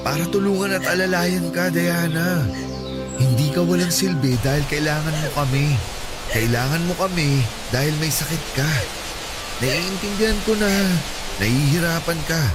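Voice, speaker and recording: A man playing a tender, higher-voiced young boyfriend. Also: normal speed, close to an everyday voice. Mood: reassuring. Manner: gentle, pleading reassurance. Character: devoted young boyfriend comforting a sick girlfriend. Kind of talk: radio drama acting. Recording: music bed under voice.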